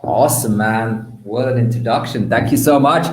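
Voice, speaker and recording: A man's voice: mid-range voice, normal speed, echoey room. A man hosting a live-stream interview in an effusive, informal way, repeating words for emphasis. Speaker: live stream show host.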